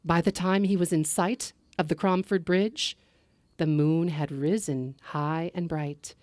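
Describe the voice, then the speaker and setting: Woman storyteller, lowish voice, slow and expressive dramatic narration, dry close sound. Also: no room sound. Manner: expressive, measured delivery with character voices.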